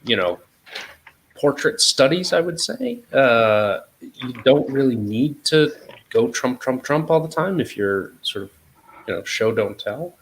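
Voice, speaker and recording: A man's voice: medium pitch, expressive, unhurried, a little hiss or hum. A man with an American accent talking informally as an interview guest, hedging often as he explains his work as an editor. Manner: informal, hedging with "sort of" and "you know". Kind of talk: casual interview talk.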